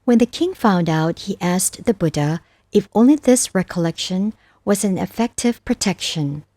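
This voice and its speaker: A woman reading scripture as scripted narration, reciting verses with measured, deliberate phrasing. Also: mid-range voice, expressive, dark tone, dry recording. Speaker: narrator of a Buddhist scripture audiobook.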